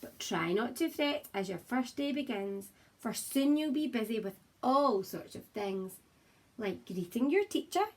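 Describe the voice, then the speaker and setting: Female primary teacher, highish and a little husky, expressive storytime read-aloud for young children, encouraging and simply worded. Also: measured pace. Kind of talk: children's picture book read-aloud with chatty asides to young viewers. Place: child's room at home, indoors.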